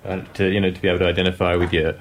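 A man's voice: sits low, little pitch movement, racing. A man asking a radio show's guests questions, rambling and restarting as he goes. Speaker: radio interviewer. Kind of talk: casual interview.

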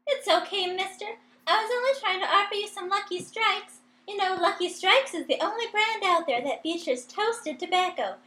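High-pitched bright cigarette girl, played by a woman. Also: moderate pace, few pauses. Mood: chirpy sales pitch. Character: young working-class 1930s cigarette girl, sweet sales pitch. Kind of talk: old-time radio comedy acting. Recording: roomy, echoing room sound.

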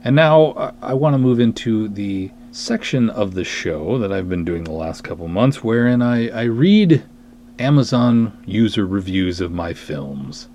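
A man's voice: lowish voice, animated, a little slow, a little mellow, a little hiss or hum. A man hosting a podcast monologue, wry, self-deprecating and emphatic as he chats and reads aloud. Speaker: podcast host, writer and director. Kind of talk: podcast monologue with dramatic review readings.

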